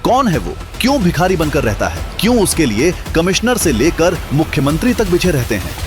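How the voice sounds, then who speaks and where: A man narrating a scripted drama story in a dramatic storytelling style. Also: fairly high pitch. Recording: loud music bed under voice. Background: dramatic music.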